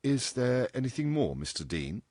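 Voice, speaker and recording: A man's voice: medium pitch, big pitch swings, a little mellow, slightly rough, no echo, studio quality, pitched above the performer's own voice. A man voicing a polite young woman secretary, hesitant and easily flustered. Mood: weary. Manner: hesitant, halting, stammering politeness.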